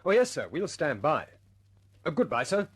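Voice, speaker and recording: An actor playing an earnest, good-humoured RAF squadron leader in a vintage radio drama. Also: male voice, fairly high pitch, sing-song, normal speed, a little hiss or hum. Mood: earnest, persuasive. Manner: hesitant stammers when pressing a point, otherwise commanding.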